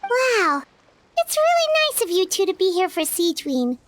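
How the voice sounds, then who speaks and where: A woman's voice: big pitch swings, moderate pace, fairly bright tone, no echo, heavily acted. A woman voicing a tiny, childlike fairy companion in a video game, earnest and naive. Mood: curious. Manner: squeaky, naive, speaks of herself in third person.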